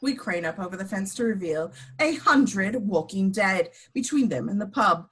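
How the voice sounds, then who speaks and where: A woman narrates screenplay action in a medium-pitched voice. It is scripted narration for an amateur table read. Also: animated, moderate pace, a bit bright, slightly rough. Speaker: reader of stage directions.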